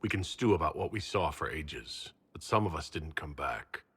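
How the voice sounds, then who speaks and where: A man voicing a calm, dry-witted heroic leader in a video game, with a husky voice. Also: extremely low pitch, moderate pace, heavily acted. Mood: serious, curious. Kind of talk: video game character acting.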